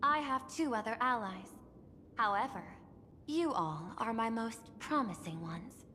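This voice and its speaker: A woman's voice: on the high side, animated, sharp tone, long reverb tail, slight background noise. A woman voicing a composed, calculating, machine-like female administrator in a video game, speaking formally and analytically. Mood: composed, persuasive. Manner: formal, measured, clipped analytical phrasing. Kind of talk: video game character dialogue.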